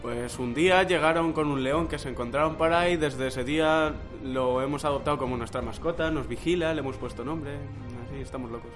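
A man's voice: a little high, brisk, few pauses, over music. A young man from Cuenca, chatting casually as an interviewee. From Cuenca, Spain. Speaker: interviewee, camp blogger. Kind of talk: casual chat.

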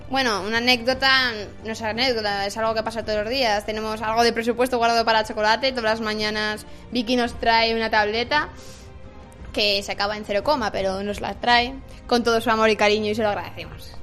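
A woman's voice: on the high side, fairly even intonation, fast talker, a bit bright, soft background music. A young woman from Zaragoza, hosting a casual group interview for youth radio. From Zaragoza, Spain.

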